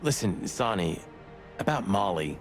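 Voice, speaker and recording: A man's voice: lowish voice, very animated, unhurried, faint music behind. A man playing a loyal, hot-headed rooster cop in a noir game, wisecracking and blustery. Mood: wry, thoughtful. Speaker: detective's partner. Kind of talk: film noir game voice acting.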